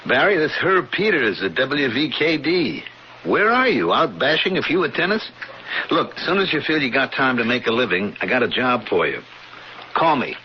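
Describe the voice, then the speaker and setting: A man's voice: medium pitch, big pitch swings, moderate pace, a little hiss or hum, natural voice. Breezy, familiar station boss type, male actor; swooping delivery, radio play, dull recorded-message sound. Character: brisk, chummy TV station man. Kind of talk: 1980s radio drama acting. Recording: muffled answering-machine message on radio broadcast.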